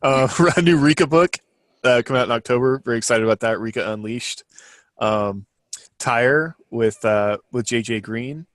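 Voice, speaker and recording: A man's voice: medium pitch, moderate pace, dry recording, clean studio sound. A man co-hosting a podcast, running through announcements in a casual, chatty way. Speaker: podcast co-host.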